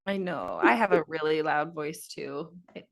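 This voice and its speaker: Emphatic woman with a lowish voice, chatting. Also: big pitch swings, moderate pace, vocal fry. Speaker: podcast co-host. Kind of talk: casual chat.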